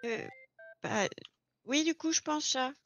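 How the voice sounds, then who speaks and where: A woman's voice: high voice, takes it slowly, dull audio, over music. A woman explaining things step by step in an informal, casual roleplay chat. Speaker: experienced garage employee training a new recruit in online roleplay.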